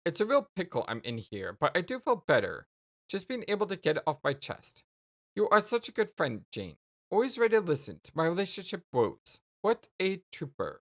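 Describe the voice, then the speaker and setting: A woman's voice: lowish voice, wildly swooping pitch, moderate pace, full character voice. A woman voicing an oblivious, apologetic young man who rambles in wordy, old-fashioned folksy slang in a dramatic dialogue. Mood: chatty, oblivious.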